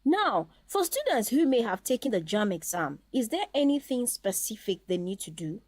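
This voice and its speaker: A woman's voice: a little high, very animated, normal speed, darkish tone, no room sound, clean studio sound. A woman hosting a scripted promotional interview in a polite, formal way.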